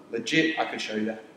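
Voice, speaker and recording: A man's voice: mid-range voice, a bit bright. A young man who grew up in Papua New Guinea, speaking Tok Pisin as his first language, casually explaining his startup in an interview and rambling through lists with frequent restarts. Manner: rambling, restarts phrases, lists ideas rapidly. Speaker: startup founder. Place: studio set with armchairs. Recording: echoey room with long reverb.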